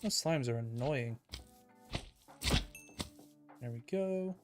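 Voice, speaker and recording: A young man streaming a building game, talking calmly and evenly in a soft, soothing voice he calls monotone. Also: mid-range voice, slow, loud music behind the voice. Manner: calm, soft-spoken, self-described monotone. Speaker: Minecraft streamer. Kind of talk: casual livestream chat while building in a game.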